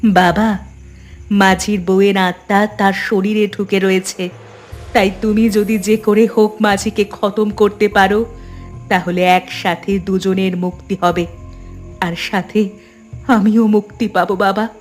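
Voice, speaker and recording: A plaintive young ghost, played by a woman, speaks slowly over background music. She is a sorrowful, vengeful daughter in a cartoon drama. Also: mid-range voice, flat delivery, natural voice.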